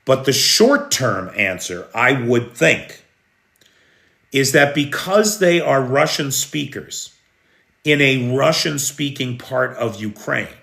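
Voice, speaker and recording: A slow-talking American man hosting a live news stream, with a bright, forceful edge to his voice. He takes his time, answering viewers' comments as he goes. His voice rings in an echoey room. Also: medium pitch, expressive, creaky. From United States. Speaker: news livestream host. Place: room in Kyiv during air alert.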